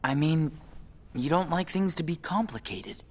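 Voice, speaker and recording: A man's voice: fairly high pitch, normal speed, natural voice. A man voicing an earnest, naive and reserved teenage boy in a video game, hesitant and plain-spoken. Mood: puzzled. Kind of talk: English video game voice acting.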